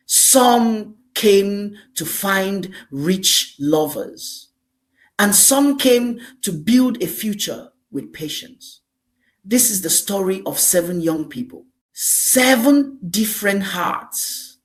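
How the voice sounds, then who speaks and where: A woman with a medium-pitched voice tells a folktale in a scripted, dramatic style. She takes her time, setting out short phrases with deliberate emphasis and repetition. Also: expressive. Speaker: storyteller.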